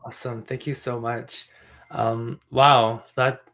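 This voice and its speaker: A man's voice: medium pitch, little pitch movement, takes it slowly, fairly bright tone. A young man hosting an open mic, chatty and enthusiastic, with lots of fillers. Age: young adult. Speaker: event host.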